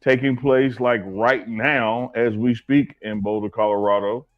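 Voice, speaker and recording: A man's voice: mid-range voice, steady tone, a little slow, lo-fi sound, soft background music. A man from Alabama, co-hosting a casual sports chat, joking and teasing his partner. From Selma, Alabama. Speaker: co-host.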